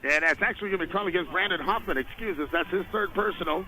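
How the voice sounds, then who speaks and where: High-pitched man calling basketball on noisy radio. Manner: rapid clipped descriptions, rising to excited shouts on big plays. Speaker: basketball play-by-play announcer. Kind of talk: live radio sports commentary. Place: indoor basketball game. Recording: noisy radio broadcast from a gym.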